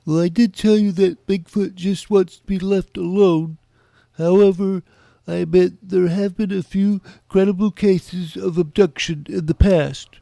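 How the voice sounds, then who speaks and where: A man's voice: sits high, steady tone, a little slow, gravelly, mellow, no echo. A man playing a big middle-aged cryptozoologist in an audio drama, prickly, wary and pedantically literal. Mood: pedantic, wistful. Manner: stiff, pedantic, hesitant.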